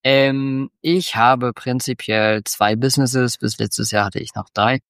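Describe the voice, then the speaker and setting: A man in his forties from northwestern Germany, a guest on a podcast, talking in a relaxed, rambling way with many fillers. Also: mid-range voice, unhurried, no room sound, studio quality. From northwestern Germany near the Dutch border. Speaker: podcast guest, agency founder. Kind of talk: casual interview talk.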